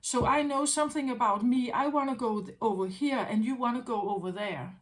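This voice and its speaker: A woman's voice: on the high side, a little fast. A woman talking as a tarot reader in an unscripted spiritual monologue, musing aloud, repeating her phrases and often checking in with "right?".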